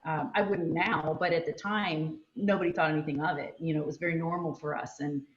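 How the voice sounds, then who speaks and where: Woman panelist, Spanish first language, lower voice, thoughtful and rambling, echoey lo-fi line. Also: steady tone, a little fast. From United States. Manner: reflective, frequent ums and you-knows. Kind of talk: casual panel discussion. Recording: echoey, dull lo-fi sound.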